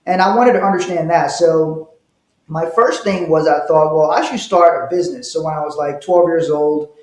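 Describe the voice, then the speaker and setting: Guy from liberia, high voice, telling stories with a laugh, echoey room. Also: normal speed, a little mellow. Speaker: guest, wealth manager. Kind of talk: casual interview storytelling. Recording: roomy echo.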